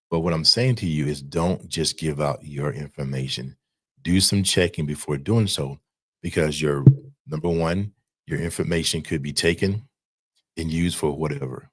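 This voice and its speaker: A man with a strikingly deep, bass voice talks in an informal advisory style. He is emphatic, repeats points for weight and often checks in with the listener. The sound is dry, with very little room in it. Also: normal speed, no room sound. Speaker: insurance broker giving advice. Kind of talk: informal advisory talk to camera.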